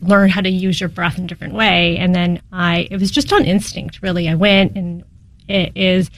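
A woman interview guest explaining her work informally, in halting conversational phrases. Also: fairly low pitch, a bit bright, no echo. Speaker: interview guest, breathwork coach.

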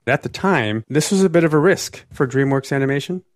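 A man's voice: fairly high pitch, expressive, fairly quick, dry recording, studio quality. A middle-aged man hosting a conversational radio interview. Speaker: radio host.

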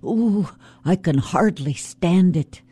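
A woman voicing a loud, scheming male trickster raven who yells taunts and insults. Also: on the low side, lively intonation, slow pace, slightly rough, dry recording, full character voice. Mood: wheedling. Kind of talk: traditional oral storytelling.

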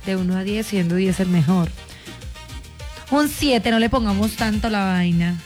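A woman's voice: medium pitch, measured pace, darkish tone. A woman with a Colombian paisa accent co-hosting a radio show in coy, affectionate casual chat. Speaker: radio co-host. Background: music.

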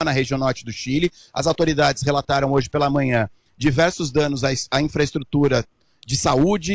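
A man reporting as a foreign correspondent, his voice a touch high and recorded very dry and close. He explains patiently and informally, with small fillers between phrases. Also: no echo. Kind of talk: news report from abroad.

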